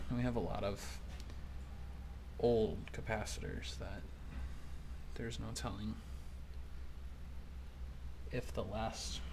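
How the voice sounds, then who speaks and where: A man's voice: lowish voice, steady tone, slow, gravelly, long pauses, background noise. A man giving a casual, unscripted walkthrough at a workbench, hesitant and thinking aloud as he examines things. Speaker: hobbyist electronics restorer presenting a video. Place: indoors at a workbench.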